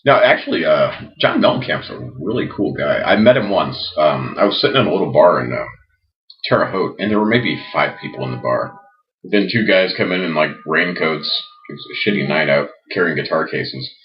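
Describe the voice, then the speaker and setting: A man with a deep voice and very lively, swooping intonation chats as a podcast co-host. He is wisecracking and tells casual anecdotes. The recording sounds a bit dull and lo-fi. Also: fairly quick. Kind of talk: casual comedic chat.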